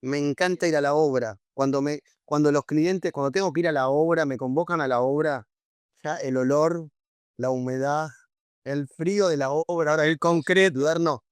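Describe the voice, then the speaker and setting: A man's voice: a little high, normal speed, clear, resonant tone, dry recording. Eager man guesting on a podcast. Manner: enthusiastic, full of restarts. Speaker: guest, brand designer. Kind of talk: casual interview chat.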